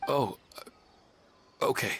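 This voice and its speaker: A man's voice: extremely slow, a little hiss or hum. A man voicing a sheepish, apologetic townsman in a video game, speaking hesitantly. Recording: loud music behind the voice.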